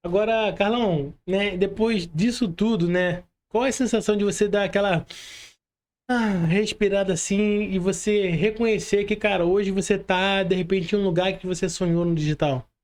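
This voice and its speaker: A man's voice: high voice, fairly even intonation, normal speed, darkish tone. A Brazilian man hosting a podcast, chatting casually in an agreeable, slangy way as he interviews a guest. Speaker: podcast host.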